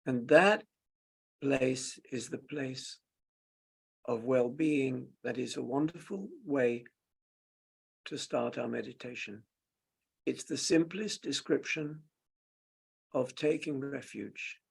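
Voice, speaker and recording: A man leads a guided meditation at a very slow pace. His voice is heavily gravelled with fry. He gives gentle instructions in short, halting phrases, leaving long pauses between them. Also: medium pitch. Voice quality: gravelly, husky. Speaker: meditation teacher.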